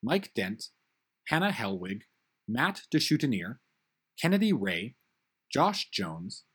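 A man hosts and closes a fan audio drama series with an upbeat outro and credits. His voice is a little husky and his pitch moves freely. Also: sing-song, takes it slowly, fairly bright tone. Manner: upbeat and promotional. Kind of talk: friendly closing announcement and credits.

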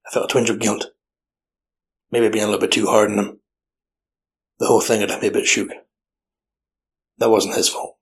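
A man playing a gruff, weary old detective with a deadpan, sardonic manner, narrating a noir audio drama. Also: on the low side, normal speed, long pauses, natural voice. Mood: softening.

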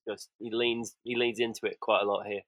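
A man's voice: fairly low pitch, level tone, a little fast, a bit bright, no echo. Easygoing man, dry close sound. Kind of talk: casual film commentary chat.

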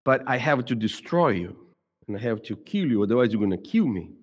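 A man's voice: medium pitch, very animated, husky, lo-fi sound. Brazilian man speaking in a reverberant hall. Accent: Brazilian Portuguese. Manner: halting, many repeated words and restarts. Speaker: speaker at a church gathering. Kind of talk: reflective talk to a congregation.

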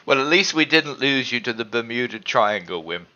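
Male co-host, bright edgy tone, jokey, muffled lo-fi line. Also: medium pitch, lively intonation, moderate pace. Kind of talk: interview questions. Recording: dull lo-fi audio.

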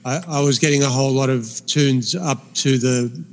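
A man in his forties with a speech impediment, co-hosting a gaming podcast in a halting, filler-heavy way. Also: medium pitch, fairly even intonation, in a large hall. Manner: halting, many fillers and restarts. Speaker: podcast co-host. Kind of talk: casual chat.

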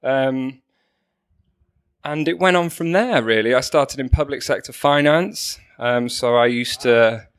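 A man's voice: mid-range voice, measured pace, smooth. A man from Manchester, a podcast guest, telling his story in a light, joking and self-deprecating way. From Manchester, England. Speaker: guest, company co-founder. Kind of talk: podcast interview.

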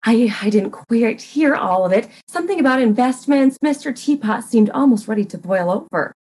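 A woman's voice: on the high side, expressive, normal speed, a little mellow, breathy edge. A woman playing a flustered, scatterbrained society wife who gossips coyly and hesitantly in a comic murder mystery reading. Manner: fluttery, hesitant, coyly confiding.

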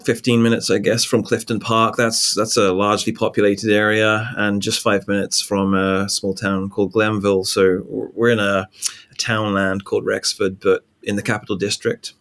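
A man in his forties from England with an English accent, a pastor telling his story in a relaxed interview over a call. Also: fairly low pitch, moderate pace. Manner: relaxed storytelling, frequent ums, light laughs. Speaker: pastor, guest. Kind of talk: casual interview talk. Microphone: video call.

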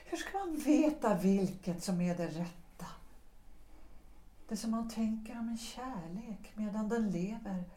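An actress playing a melancholy, composed married woman who speaks in measured, reflective tones, recorded in an echoey room. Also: mid-range voice, expressive, dark tone, lightly acted.

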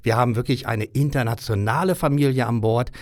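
Männliche Gaststimme, trocken im Studio. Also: male voice, mid-range voice, a little fast, dry recording. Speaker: cruise line business development director. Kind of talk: interview answers. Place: audio studio.

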